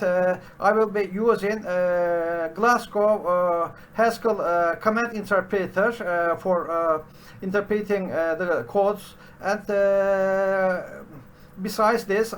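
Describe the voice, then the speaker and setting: A middle-aged man with a Turkish accent, a non-native English speaker, teaching programming from a home study, pausing often with "uh" fillers and restarts. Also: high voice, fairly bright tone, a little hiss or hum. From Turkey. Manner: halting, constant "uh" fillers and restarts. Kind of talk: unscripted screen-share tutorial.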